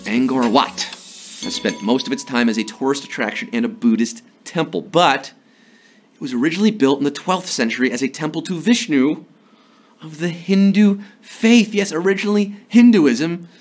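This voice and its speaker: Playful slightly hoarse male quiz host. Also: a little high, faint music behind. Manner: playful, jokey, slips into quick impressions and sung bits. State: slightly hoarse from a sore throat. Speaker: trivia game show host. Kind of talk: upbeat live quiz hosting. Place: studio before a green screen.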